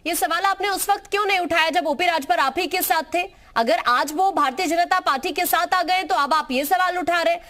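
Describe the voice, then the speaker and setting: A woman's voice: extremely high pitch, a little fast, few pauses, fairly bright tone. A woman, firmly moderating a live television debate and repeating her phrases as she steps in. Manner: firm, interjecting, repeats phrases. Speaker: news debate anchor.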